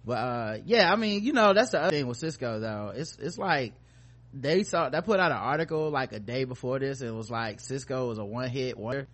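A man's voice: medium pitch, lively intonation, fairly quick, a bit bright. Casual, joking man hosting a podcast. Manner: slangy, profane, joking. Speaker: podcast host. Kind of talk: casual comedic commentary.

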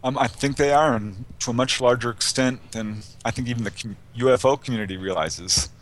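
A man's voice: medium pitch, brisk, low-quality recording. A man speaking conversationally as an interview guest, recounting anecdotes as a researcher and author, with a light chuckling manner.

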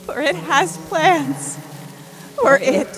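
Ominous archaic oracle woman acting, high and slow, solemn incantation for audio horror. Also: soft background music. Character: ominous prophetic voice of dread, archaic and commanding. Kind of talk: audio horror drama monologue.

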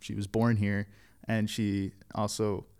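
Smooth-voiced young man, low and easy. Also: takes it slowly. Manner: relaxed, hesitant, with fillers and restarts. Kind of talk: casual chat.

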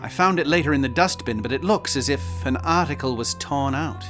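An actor playing a practical middle-aged father in a radio drama, exasperated and gruff in manner. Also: mid-range voice, brisk, over music, close to an everyday voice. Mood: concerned.

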